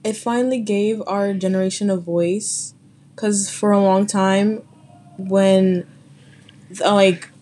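A woman's voice: mid-range voice, steady tone, slow, warm tone. A young woman from the Bronx, speaking hesitantly as a panel guest. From the Bronx, New York City. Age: young adult. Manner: hesitant, searching for words.

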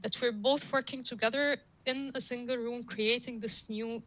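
A woman with a bright, forceful, fairly high voice speaks as an interview guest. Arabic is her first language. She explains her professional ideas earnestly and reaches for analogies, in a very dry recording with no room sound. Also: steady tone, normal speed, no echo, rough recording. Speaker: guest, data science professional.

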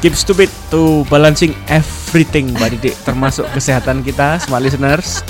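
A man's voice: fairly high pitch, normal speed, music in the background. A man explaining business strategy on a radio talk show, sprinkling in English terms and checking in with "ya". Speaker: marketing expert guest. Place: radio studio. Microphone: close studio mic.

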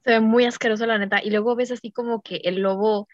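A woman's voice: fairly high pitch, fairly even intonation, fast, no room sound. A young woman with a Mexican accent co-hosting a podcast in casual, slang-filled conversation. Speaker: podcast co-host. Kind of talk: casual chat recapping a TV series. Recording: slightly dull, dry lo-fi sound.

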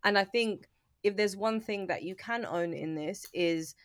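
A woman's voice: medium pitch, unhurried, no echo, clean studio sound. A woman co-hosting a podcast, speaking in an encouraging, slangy way. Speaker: podcast co-host. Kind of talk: casual interview chat.

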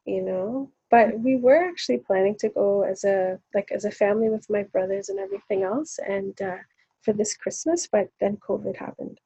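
Woman, from South Africa, deep fry in the voice, husky, casual podcast chat, rambling, dull lo-fi sound. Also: expressive, normal speed, mellow. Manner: rambling and reflective, many fillers. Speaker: podcast co-host.

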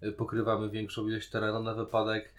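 Man, slightly low voice, casual review chat, podcast co-host, playful joking manner. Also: completely flat delivery, quick pace.

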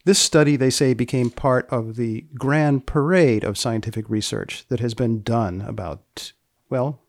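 Man, podcast host, creaky mid-pitched voice, dry studio sound, deadpan, deliberate phrasing, explanatory commentary. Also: no room sound.

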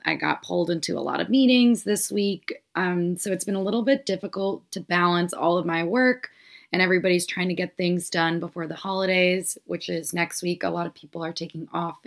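Low-pitched young woman telling a story. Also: moderate pace, vocal fry, fairly bright tone. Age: 20s. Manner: rambling storytelling, giggles often. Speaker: podcast co-host. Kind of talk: casual podcast chat.

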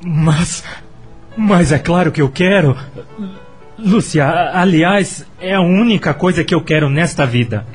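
An actor playing a gentle, lovestruck middle-aged widower in a radio soap, speaking earnestly and courteously. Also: male voice, a little high, unhurried, faint music behind, natural voice. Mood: astonished, elated. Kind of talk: Brazilian radio soap acting.

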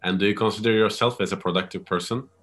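Low-voiced male interviewer. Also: steady tone, fast, fairly bright tone. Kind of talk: hosted interview.

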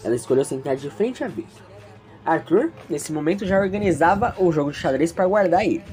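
A woman narrates a story informally, breaking into reflective asides for her listeners. Her voice is low and very varied in melody, with faint music behind. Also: lively intonation, fairly quick, a little mellow. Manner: chatty, reflective, addresses the listeners. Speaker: narrator and host.

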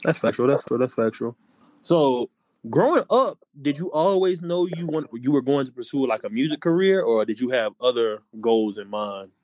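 Young podcast host dude, hypes people up, slangy, dry mic sound. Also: male voice, medium pitch, lively intonation, moderate pace, a little mellow, no room sound. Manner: upbeat, affirming, slangy. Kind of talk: casual podcast chat.

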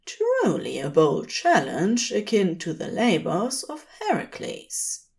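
A woman's voice: medium pitch, huge pitch swings, slow, vocal fry, a little mellow, full character voice. A woman voicing a fussy, tender old male angel who speaks in prim, wordy, old-fashioned phrases. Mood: happy, teasing.

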